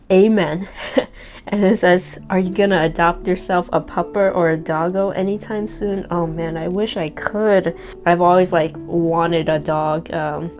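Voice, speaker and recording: A young woman in her twenties whose native language is Cantonese, reading her own old letter aloud and reacting to it in a candid, self-deprecating way. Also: on the low side. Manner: candid, reflective, self-deprecating with soft laughs. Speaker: vlogger. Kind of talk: casual reading of a personal letter. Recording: guitar music playing behind.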